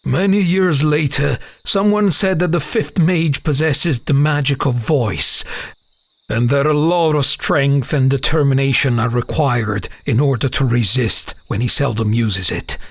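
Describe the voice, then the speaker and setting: Man, fantasy audiobook narrator, solemn dramatic storytelling, gravelly, very dry recording. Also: medium pitch, unhurried, dry recording.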